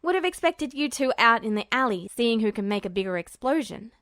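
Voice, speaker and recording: A woman's voice: on the high side, expressive, fairly quick, fairly bright tone, no echo, studio quality. A woman voicing a grumbling, sarcastic teenage boy with a blunt, casual delivery in a story reading. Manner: muttering, retorting, casual slang.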